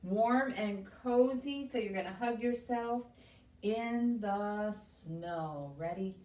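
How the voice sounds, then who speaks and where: Slow-paced woman leading children, distant and roomy. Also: medium pitch, a little mellow. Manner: encouraging, simple questions and instructions for young children. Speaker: library story time host. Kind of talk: children's story time with songs and simple instructions. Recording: roomy echo, distant microphone.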